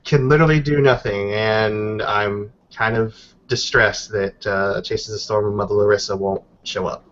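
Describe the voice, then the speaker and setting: A man's voice: fairly low pitch, fairly even intonation, normal speed, fairly bright tone, a little hiss or hum. A man playing a tabletop roleplaying game, narrating his character's actions in casual talk. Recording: dull, lo-fi voice recording.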